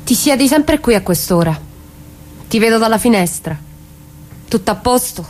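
Female actor, as a playful, dreamy kid sister in radio drama; expressive range, slight background noise. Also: on the high side, normal speed, lightly acted, low-quality recording. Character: dreamy, teasing younger sister, quietly defiant. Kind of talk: naturalistic radio drama dialogue.

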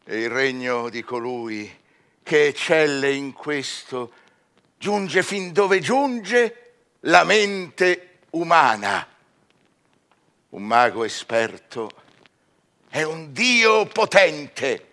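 An actor playing a proud scholar hungry for magical power in a verse drama, grandiose and declamatory, swinging between murmurs and swelling phrases. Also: male voice, on the high side, animated, extremely slow, pauses often, sharp tone, full character voice. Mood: grandiose, exultant. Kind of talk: theatrical verse radio drama.

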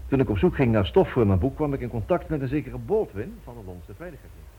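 A narrow, muffled radio drama recording carries an earnest writer. He talks quickly and insistently in a husky voice, rising and falling a lot as he presses his case. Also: medium pitch, sing-song. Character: earnest, agitated writer reporting a conspiracy. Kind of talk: Dutch radio drama acting.

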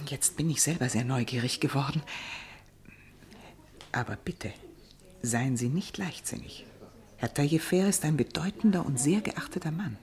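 An actress playing a cautious, gossipy society lady in a radio play, with a refined, confiding and expressive manner. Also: low voice, normal speed, long pauses, lightly acted. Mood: teasing, curious. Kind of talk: German radio play dialogue.

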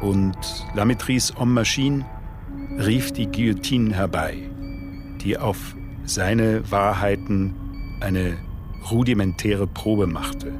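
A man reading a scripted literary essay as narrator, in a measured, formal manner. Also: lowish voice. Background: music.

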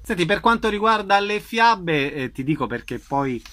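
A man's voice: sits high, sing-song, normal speed, sharp tone, a little hiss or hum. A man working as a radio interviewer, putting questions in a conversational style.

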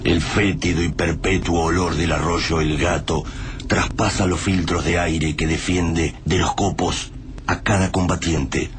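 Deep, solemn storytelling man. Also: fairly even intonation, background noise. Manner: slow, solemn, literary delivery. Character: grave male narrator of a post-apocalyptic war tale. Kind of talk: radio drama narration. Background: music.

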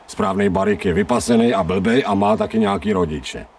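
An actor playing a scrawny, streetwise stray dog in a radio drama, grumbling in colloquial speech with sardonic self-pity. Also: male voice, sits high, wildly swooping pitch, vocal fry, darkish tone, distant mic, a little hiss or hum, heavily acted. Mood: wry, gruff. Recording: 1990 radio drama, off-mic.

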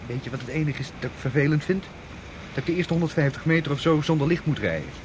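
Actor playing nervous impatient man, radio drama, high animated voice, urgent stammered repeats, heavy vehicle noise. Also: quick pace, lightly acted. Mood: tense, irritated. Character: nervous, impatient man waiting beside a getaway car. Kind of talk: Dutch radio drama acting. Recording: loud vehicle noise over voice. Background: car engine and ambience.

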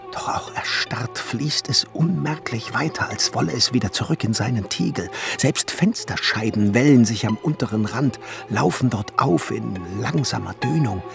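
An actor playing a solemn, visionary glassmaker and alchemist in a radio play, musing in literary German and often dropping into hushed tones. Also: male voice, mid-range voice, expressive, normal speed, gravelly. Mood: brooding. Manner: musing, declamatory, drops into hushed whispers. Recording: studio radio play with music underneath.

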